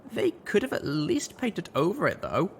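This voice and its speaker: A man voicing an earnest, apologetic young pony heroine with a halting manner in a story reading. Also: high voice, huge pitch swings, a little hiss or hum, voice pushed up, heavily acted.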